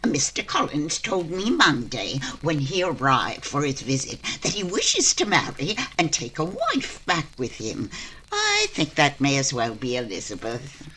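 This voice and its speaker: A woman playing a bossy, excitable middle-aged mother with imperious, dramatic delivery in a period radio comedy. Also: sits low, measured pace, breathy edge, heavily acted. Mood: scheming, insistent.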